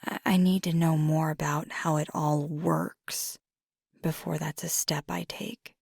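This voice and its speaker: A woman voicing a fiery young werewolf girlfriend in intimate ASMR roleplay, husky and often whispery, confiding earnestly and haltingly. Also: low-pitched, level tone, darkish tone, no echo, studio quality, heavily acted. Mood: hesitant, earnest. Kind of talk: intimate ASMR roleplay, soft half-whispered close speech.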